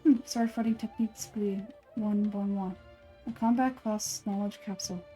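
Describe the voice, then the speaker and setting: A woman voicing an aloof, calculating male scholar from a video game, speaking in a formal, measured, clinical way. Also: medium pitch, steady tone, warm tone, faint music behind, close to an everyday voice, rough recording. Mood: analytical, detached. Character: aloof, scholarly young man, calculating and composed researcher. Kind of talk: game dialogue read aloud.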